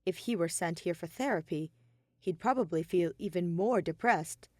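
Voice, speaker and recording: A woman's voice: on the low side, normal speed, darkish tone, no echo, clean studio sound. A woman reading scripted fiction aloud as the narrator of an audio story.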